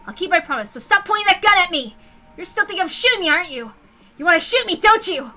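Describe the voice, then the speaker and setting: A woman's voice: extremely high pitch, sing-song, quick pace, bright, forceful tone, faint music behind, voice pushed up, full character voice. A woman voicing a frantic wounded man who pleads desperately for his life in a story reading. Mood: desperate, distrustful.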